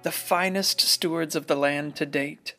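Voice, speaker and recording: A wry small-town storyteller in a radio play, reflective and reminiscing in a fairly high voice. Music plays softly behind him. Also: male voice, fairly even intonation, measured pace.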